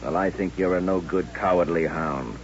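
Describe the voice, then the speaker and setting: An actor playing a drunken, aggrieved cowboy spoiling for a gunfight, blustering and threatening in old-time radio western style. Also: male voice, deep voice, normal speed, lightly acted. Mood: bitter, threatening. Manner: blustering, threatening frontier talk. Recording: dull, noisy, humming old radio recording.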